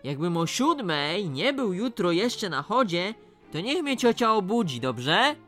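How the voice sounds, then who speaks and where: A man's voice: sits high, sing-song, unhurried, pushed and bright, faint music behind, full character voice. An actor playing a put-upon, chatty painter complaining about his aunt in a radio play. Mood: exasperated, recounting. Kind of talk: radio play acting, storytelling.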